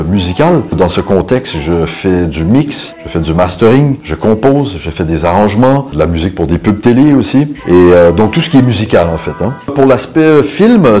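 A middle-aged man talking about himself in an interview, hesitating and pausing between short phrases. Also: fairly low pitch, lively intonation, darkish tone. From Canada. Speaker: videographer, photographer and musician being interviewed. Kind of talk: interview self-portrait. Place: indoor photo studio. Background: background music.

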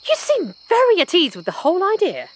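A woman playing a lively, eager journalist. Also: extremely high pitch, a little fast, heavily acted. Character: Eleanor Agnew, curious adventurous female journalist. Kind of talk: audio drama acting.

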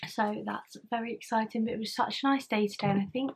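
A woman's voice: a little high, fairly even intonation, fast talker, noisy background. A young woman, chatting to camera at home in a rambling vlog style. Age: young adult. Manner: rambling, chatty, with many ums. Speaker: vlogger. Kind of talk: casual vlog chat to camera. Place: home living room and bedroom, indoors. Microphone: camera at arm's length.

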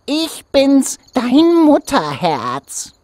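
A woman's voice: sits high, huge pitch swings, extremely slow, background noise, full character voice. A woman voicing a prince who imitates a witch, in a put-on singsong calling voice for a fairy tale. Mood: coaxing, calling.

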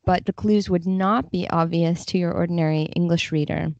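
A woman's voice: lowish voice, steady tone, normal speed, a little mellow, no room sound. A woman speaking as an interview guest, explaining things in a casual, conversational way. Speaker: podcast guest, literary translator. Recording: slightly dull, muffled audio.

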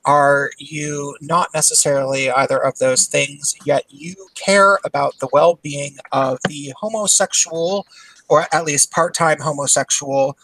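Chatty host guy, kinda high voice, dry close sound, laughs a lot. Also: unhurried, bright, forceful tone, no echo, poor audio. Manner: chatty, laughs often, trails into restarts. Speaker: podcast host. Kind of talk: casual chat.

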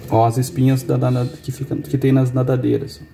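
A man in his forties, from Brazil, hosting a fishing channel and calmly explaining how to fillet a fish, step by step, in an indoor kitchen. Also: medium pitch, normal speed, creaky, noisy background. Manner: calm step-by-step explaining, pointing things out. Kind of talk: cooking demonstration. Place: indoor kitchen with brick and wood walls.

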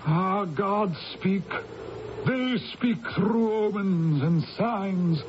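Slow, high-voiced fanatical archdruid. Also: male voice, background noise. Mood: ominous. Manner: declamatory, incantatory, ominous. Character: fanatical, vengeful archdruid of ancient Britain. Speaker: radio actor. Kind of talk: 1980s radio drama acting. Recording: muffled old radio broadcast.

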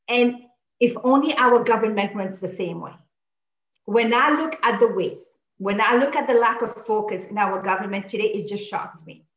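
A woman in her forties from Mauritius with a French-accented English, speaking earnestly and passionately as a political candidate on a video call from a home office. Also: medium pitch. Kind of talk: campaign talk on a video call. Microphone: video call webcam. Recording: echoey, dull lo-fi call audio.

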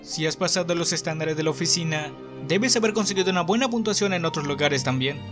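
A man with a Latin American Spanish accent playing a cheeky, bitter young enforcer in an anime fan dub, with casual, expressive delivery. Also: on the high side, fast, music in the background, close to an everyday voice. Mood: playful, curious. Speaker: amateur fandub voice actor.

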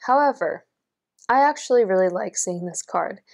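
A woman's voice: mid-range voice, expressive, moderate pace, creaky, a little mellow. Encouraging woman reading tarot cards. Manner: earnest, encouraging, lots of fillers. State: losing her voice. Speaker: tarot reader. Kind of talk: pick-a-card tarot reading. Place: indoors at a table, only hands seen.

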